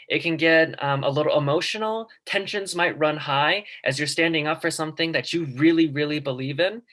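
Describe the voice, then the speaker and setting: Earnest young man with a bright voice. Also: on the high side. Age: young adult. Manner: earnest, personal storytelling with frequent ums. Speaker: student guest presenter. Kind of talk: class presentation.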